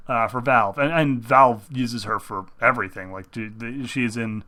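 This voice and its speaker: A young man hosting a casual chat about video games, rambling and excitable, restarting his sentences often. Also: mid-range voice, normal speed. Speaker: host.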